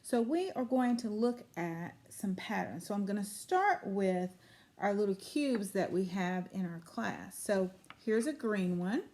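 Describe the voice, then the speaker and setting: A woman's voice: mid-range voice, very animated, measured pace, darkish tone, slight background noise. A woman teaching a children's lesson on video, speaking in simple, repetitive phrases and prompting with questions. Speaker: early grade school teacher.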